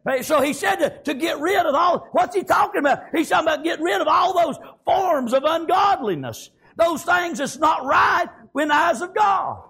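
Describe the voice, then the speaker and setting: A man's voice: very high-pitched, lively intonation, normal speed, gravelly, few pauses, pushed and bright, raised voice. A man with a Southern American accent preaching a fervent revivalist sermon in a folksy way, peppered with exclamations of praise. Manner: folksy, colloquial.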